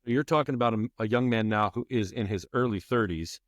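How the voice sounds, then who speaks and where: Low-voiced middle-aged man, emphatic talker. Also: brisk, no room sound, clean studio sound. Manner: emphatic, repeats phrases for stress. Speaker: podcast guest, former public address announcer. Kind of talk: spirited conversational interview.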